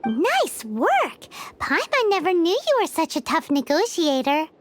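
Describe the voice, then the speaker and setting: A woman's voice: very high voice, wildly swooping pitch, unhurried, full character voice. A woman voicing a small, cheeky, childlike fairy companion in a video game, blunt and exclamatory. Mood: impressed. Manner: refers to self in third person, exclamatory. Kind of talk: cartoonish video game acting. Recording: loud background game music.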